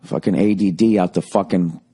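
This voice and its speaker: A man in his forties with a Boston accent, ranting sarcastically and profanely in a rambling comic monologue, his voice going a little hoarse. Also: deep voice, steady tone, normal speed, warm tone. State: voice getting hoarse. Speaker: podcast host.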